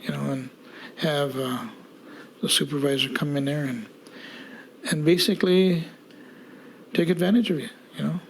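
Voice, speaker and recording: Gravelly man in his sixties. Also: on the high side, moderate pace, long pauses, slight background noise, low-quality recording. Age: 60s. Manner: plainspoken, with fillers and restarts. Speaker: residential school survivor. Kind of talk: interview testimony.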